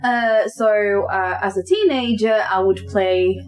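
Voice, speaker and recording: A woman's voice: mid-range voice, slow pace. A woman in her thirties from Portugal hosting a casual podcast interview, chatty, with many fillers. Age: 30s. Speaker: podcast host. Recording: loud music behind.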